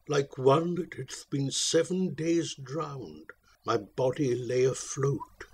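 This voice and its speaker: A man's voice: mid-range voice, breathy edge. A man voicing a tormented old mariner who solemnly declaims his tale in archaic verse.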